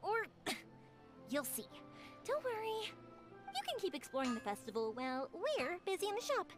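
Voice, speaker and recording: A woman voicing a bright, friendly, energetic young shopkeeper in an anime game, expressive and encouraging. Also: extremely high pitch, normal speed, music in the background. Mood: playful.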